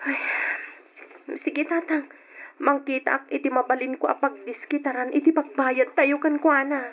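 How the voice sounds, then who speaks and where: High-voiced timid young maid, pleading, dull old radio sound. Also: female voice, fairly even intonation, moderate pace. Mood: humble. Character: poor young maid in debt. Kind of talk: old radio drama acting.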